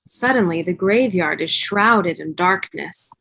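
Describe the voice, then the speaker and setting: A woman's voice: fairly low pitch, measured pace, vocal fry, a bit bright, no echo, low-quality recording. Dry-sounding woman narrating a script. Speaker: stage-direction narrator. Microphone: video call.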